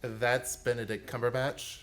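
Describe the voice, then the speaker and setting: A man's voice: medium pitch, level tone, unhurried, a bit bright, slight background noise. A man in scripted comic banter on a theater stage, correcting his partner in a pedantic, exasperated way. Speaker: actor in comic banter with the host.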